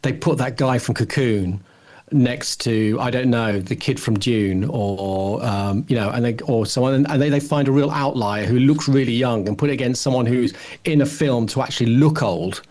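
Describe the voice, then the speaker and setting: A man's voice: medium pitch, normal speed, darkish tone. A man in his forties co-hosting a podcast, casually rambling through his opinions and thinking aloud with frequent false starts. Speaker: podcast co-host.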